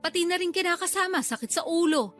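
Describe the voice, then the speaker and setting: A woman's voice: extremely high pitch, fast talker, fairly bright tone, soft background music. A woman playing a hard-up, complaining middle-aged older sister and mother in a Filipino radio drama. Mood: bitter complaining. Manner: complaining, defensive, blunt. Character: aggrieved, hard-up older sister, struggling mother of many children.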